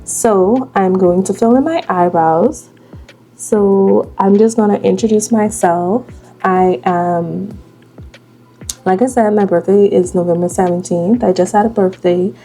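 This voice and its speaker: A young Bahamian woman in her late twenties talks slowly and easily while she does her makeup. Her voice is gravelly and mellow. Soft music plays faintly behind her. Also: medium pitch. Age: 20s. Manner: slow, relaxed, chatty while doing makeup. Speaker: vlogger. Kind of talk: casual get-ready-with-me chat.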